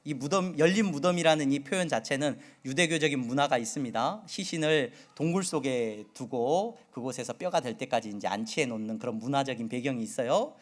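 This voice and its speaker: A middle-aged male pastor from the Jeolla region preaching a sermon, with a slight Jeolla accent, asking his congregation many questions and moving between loud and soft delivery. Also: medium pitch, fairly quick, few pauses. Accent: Seoul Korean with occasional Jeolla dialect. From Jeolla region, Korea.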